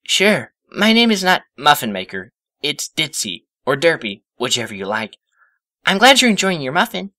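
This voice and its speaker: A man gives voice to a shy young mare from a cartoon story. The voice is high and lilting, gentle and friendly. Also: big pitch swings, moderate pace, dry recording, clean studio sound. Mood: cheerful. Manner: soft-spoken, friendly. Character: timid, sweet, friendly young female cartoon pony.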